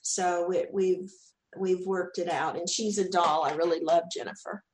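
A chatty woman from Texas with a Southern drawl, talking about her acting work in an easygoing interview. Her voice sits on the lower side, and the room around her rings with echo. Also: fairly even intonation, normal speed. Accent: Southern US, Texan. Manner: chatty, warm, repeats words when starting. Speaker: actress, interview guest. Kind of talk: casual interview chat.

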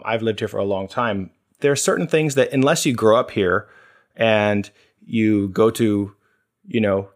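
A man hosting a casual podcast chat, explaining things in a measured way and thinking aloud as he goes. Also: mid-range voice. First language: English. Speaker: podcast host.

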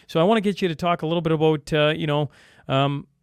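Podcast host guy, kinda high voice, lots of um and uh, super dry close mic. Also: clear, resonant tone, no room sound. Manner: halting, many fillers and restarts. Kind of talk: casual interview talk.

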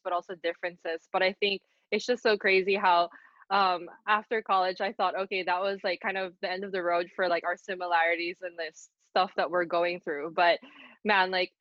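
Young filipino-raised woman chatting on a podcast, kinda low voice, dull dry lo-fi sound. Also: fairly bright tone, dry recording. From Philippines. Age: 20s. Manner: earnest, upbeat, many fillers. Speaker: podcast co-host. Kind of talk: casual chat.

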